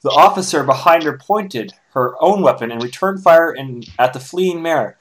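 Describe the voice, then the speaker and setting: A man's voice: a little high, animated, moderate pace. A man doing a casual dramatic reading of fan fiction, with joking asides.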